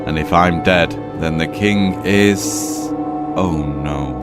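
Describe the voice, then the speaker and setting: Deep-voiced, formal alien king. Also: slow, loud music behind the voice. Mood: grim. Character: grave, dutiful male alien king in hiding. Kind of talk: audio drama acting.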